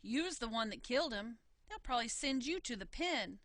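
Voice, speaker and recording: Woman as a blunt, bright-voiced country brother. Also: fairly high pitch, expressive, brisk, no echo. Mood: accusing.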